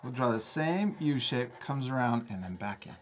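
Man, animated intonation, casual, encouraging, children's art teacher, step-by-step instruction. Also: mid-range voice, moderate pace, a little hiss or hum. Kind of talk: step-by-step drawing lesson for children.

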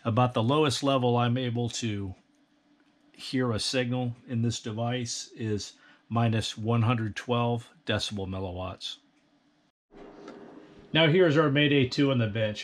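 A middle-aged American man narrating an instructional bench review in a measured, precise technical manner. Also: mid-range voice. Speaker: host, radio equipment reviewer. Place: indoor electronics workbench.

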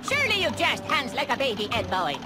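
A man's voice: extremely high pitch, huge pitch swings, brisk, heavily acted. A man playing a proud foreign farm boy in a cartoon game, declaiming pompously in oddly phrased sentences. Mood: indignant commanding. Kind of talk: cartoon video game acting. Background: music.

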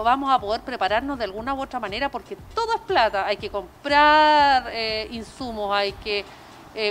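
A Chilean woman with a high voice speaks earnestly and emphatically as a business owner being interviewed. She talks spontaneously through a face mask into a handheld mic, with clear street noise around her. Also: fairly bright tone. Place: open-sided restaurant terrace by a street. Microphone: handheld reporter microphone. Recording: outdoor street noise with traffic.

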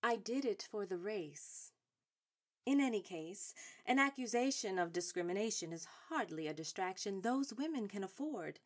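A woman's voice: medium pitch, expressive, normal speed, natural voice. Authoritative suffragette elder stateswoman, played by an actress. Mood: composed, resolute. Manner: formal, declarative, authoritative. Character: seasoned, proud, shrewd woman suffrage activist of the 1910s. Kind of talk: stage-trained radio drama acting.

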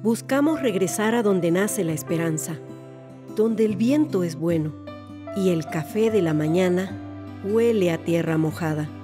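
Slow, poetic woman over guitar. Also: on the low side, animated. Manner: poetic, deliberate. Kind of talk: scripted radio drama introduction. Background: guitar music.

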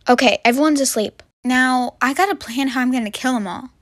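A woman's voice: high voice, fairly quick, fairly bright tone, dry recording. A woman voicing a bossy, falsely sweet camp counselor with murderous schemes in a roleplay story. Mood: scheming.

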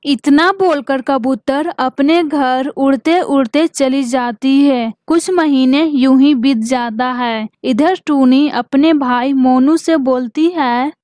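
A woman's voice: high-pitched, slow, few pauses, darkish tone, dry recording, studio quality. A woman narrating a scripted children's story as its storyteller.

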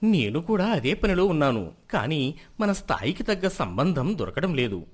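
A man acts a rich middle-aged merchant in a moral tale, proud and concerned with status. His voice sits fairly high and swings widely as he argues and concedes. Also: normal speed, breathy edge. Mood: defensive, proud. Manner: animated, self-justifying, conceding. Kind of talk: moral story dialogue.

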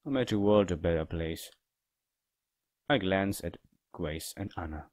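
A man reading a visual novel as a cold, calm young male killer, quiet, dramatic and subdued. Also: low voice, a little slow, no room sound, studio quality, pitched below the performer's own voice. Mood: cold, resigned.

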